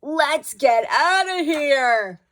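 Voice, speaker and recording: A woman's voice: sits high, animated, extremely slow, a bit bright, voice pushed up, heavily acted. A woman voicing a sneaky, cocky burglar in a storybook, urgent and conspiratorial. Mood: panicked.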